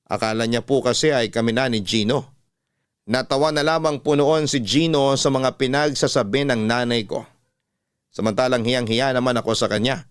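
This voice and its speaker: A man tells a scripted personal story as a radio drama narrator. His voice sits at a medium pitch. The sound is dry and close. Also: dry recording. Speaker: radio drama host reading a listener's letter.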